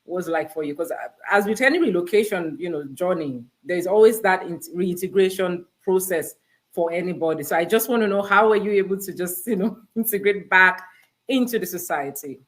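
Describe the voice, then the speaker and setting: A woman's voice: on the low side, a little fast. A woman hosting a live online interview, chatty and polite, with plenty of "you know" and "um" fillers. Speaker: host.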